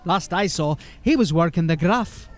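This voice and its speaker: A man's voice: fairly high pitch, lively intonation, moderate pace, lightly acted. A man playing a folksy, rambling, deferential corporal of a fantasy city watch in an audio drama. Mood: sombre, regretful. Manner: folksy, rambling, eager to please.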